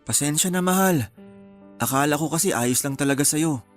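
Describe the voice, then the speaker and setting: A man playing a gentle, apologetic young husband in a Filipino radio drama, hesitant and placating, with a Filipino accent. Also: on the high side, normal speed, faint music behind, natural voice. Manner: hesitant, placating, soft-hearted.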